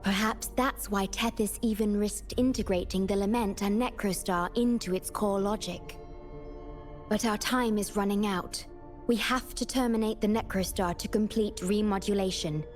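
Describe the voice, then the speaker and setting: Game role: actress as composed, devoted woman guide, gentle formal delivery, middle register, soft music underneath. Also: mid-range voice, steady tone, normal speed, natural voice. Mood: grave, composed. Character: composed, devoted woman guiding a companion on a mission. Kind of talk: video game voice acting.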